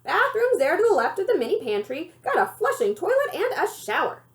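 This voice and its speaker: Woman playing a storybook grandma, folksy, proud, feisty, high-pitched, very animated, colloquial and emphatic. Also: fairly quick. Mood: proud, excited. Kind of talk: children's storybook character voice.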